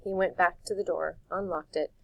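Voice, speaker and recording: Low-voiced woman reading a story. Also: gravelly, no echo, low-quality recording. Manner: calm, measured reading. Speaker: audiobook reader. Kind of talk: storybook narration.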